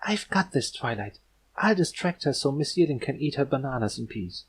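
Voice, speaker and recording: A man voicing a timid, apologetic young female cartoon pony, very soft and hesitant. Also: medium pitch, big pitch swings, moderate pace, slight background noise, pitched above the performer's own voice, close to an everyday voice. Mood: timid resolve. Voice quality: very soft, barely audible. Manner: hesitant, meek.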